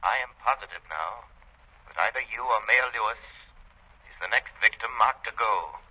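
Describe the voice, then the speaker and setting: An actor playing a mysterious, menacing vigilante in a 1930s radio drama, speaking in a measured, formal, ominous way. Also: male voice, lowish voice, breathy edge. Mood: grave, ominous. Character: mysterious, menacing invisible male vigilante avenger. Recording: dull old radio broadcast, telephone-like.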